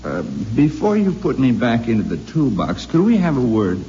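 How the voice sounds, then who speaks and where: A polite, sinister robot puppet. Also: male voice, medium pitch, wildly swooping pitch, normal speed, dark tone. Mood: aggrieved, polite. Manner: mild and courteous turning coldly sinister. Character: polite, jealous male marionette robot double, quietly menacing. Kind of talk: 1950s radio drama acting. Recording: dull old radio broadcast with hiss and long reverb.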